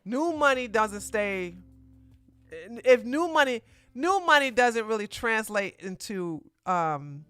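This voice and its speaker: A woman streamer talks close to the mic in a fairly high, blunt voice, commenting on a video. Her delivery is emphatic and outspoken, and it sometimes tips into loud, indignant bursts. The sound is dry, with faint music playing underneath. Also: very animated, slow, clean tone, no room sound. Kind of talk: casual reaction commentary.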